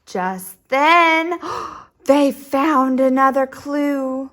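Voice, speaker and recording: A woman's voice: high voice, fairly even intonation, very slow, a bit bright. A woman speaking expressively to young children, as in a read-aloud or simple lesson. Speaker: storyteller and drawing teacher for children.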